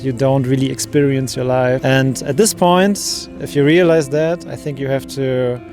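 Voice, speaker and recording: A man's voice: medium pitch, fairly even intonation, normal speed, over music. Earnest man in his thirties. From Germany. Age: 30s. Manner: earnest, searching for words. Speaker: interviewee, traveler. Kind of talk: casual street interview.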